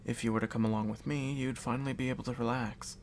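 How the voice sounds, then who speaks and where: A man voicing a calm, courteous but predatory vampire noble in an ASMR roleplay, speaking in a measured, condescendingly reassuring way. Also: mid-range voice, natural voice. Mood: coaxing. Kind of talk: ASMR audio roleplay addressed to the listener. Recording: audible background noise and ambience.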